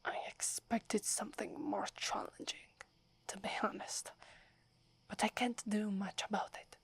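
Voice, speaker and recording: A woman's voice: mid-range voice, steady tone, unhurried, creaky, fairly bright tone, breathy edge, no echo, full character voice. A woman from Italy with an Italian accent, whispering as a proud, wary young female werewolf who is prickly and defensive. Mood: grudging. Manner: haughty, grudging, defensive. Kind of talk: ASMR roleplay.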